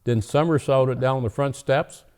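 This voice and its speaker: A man playing a jolly, gentle old Santa Claus, reading storybooks and chatting in an unhurried, deliberate way. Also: medium pitch, steady tone, smooth, natural voice. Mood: storytelling. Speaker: storyteller host. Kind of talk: storybook reading and casual chat.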